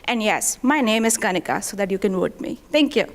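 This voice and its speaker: A woman giving a persuasive conference pitch and asking the audience for votes. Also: lively intonation, brisk, noisy background. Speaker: speaker pitching a talk.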